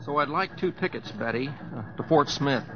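An actor playing an easygoing, restless young cowboy in an old radio drama. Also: male voice, fairly high pitch, expressive, moderate pace, close to an everyday voice. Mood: easygoing, resigned. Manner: drawling, plain-spoken, folksy. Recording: dull old radio recording.

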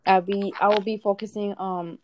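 A woman's voice: mid-range voice, level tone, normal speed. A young woman from Guatemala co-hosting a casual podcast chat, hesitant with lots of fillers and curious questions. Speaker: podcast co-host.

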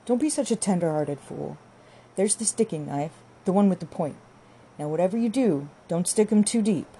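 A woman voicing a brisk, hard, scornful young farm wife who scolds and orders in an audiobook. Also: on the low side, animated, warm tone, husky. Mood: bossy, instructing. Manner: scolding, bossy, rustic turns of phrase. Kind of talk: audiobook character dialogue.

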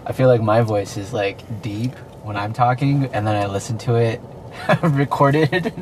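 A man's voice: medium pitch, measured pace, a little hiss or hum. A man hosting a casual faith podcast, talking hesitantly with laughs and trailing-off sentences. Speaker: podcast host.

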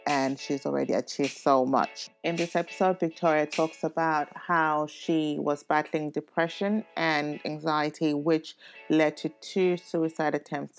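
Low-voiced chatty woman podcast host. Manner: chatty, laughs often, quick rising questions. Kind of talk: casual podcast interview.

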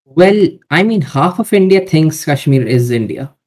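A man's voice: a little high, animated, a little slow, darkish tone. A man speaking as a podcast guest, giving hesitant, hedging answers in casual talk.

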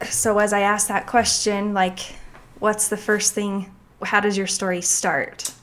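A woman's voice: medium pitch, little pitch movement, fairly bright tone. A woman hosting a podcast interview, curious and eager, asking follow-up questions in a guest's home. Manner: curious, eager, quick asides. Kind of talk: conversational interview. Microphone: table microphone.

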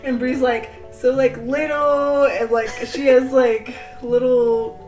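A woman's voice: high voice, flat delivery, takes it slowly, a little mellow, recorded from a distance, faint music behind, poor audio. A woman in her twenties hosting a casual podcast, chatty and giggly, full of "like" fillers. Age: 20s. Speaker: podcast host. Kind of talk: casual chat.

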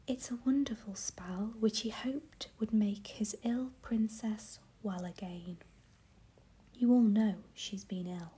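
A woman voicing a gracious, commanding fairy queen in a soft whisper. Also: medium pitch, slow, husky. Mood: stately. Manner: whispered, stately.

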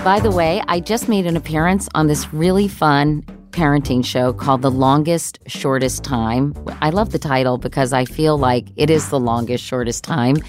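Very low-voiced woman in her fifties. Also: moderate pace, few pauses, darkish tone, soft background music. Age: 50s. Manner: chatty, self-deprecating. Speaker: podcast host. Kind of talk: casual chat.

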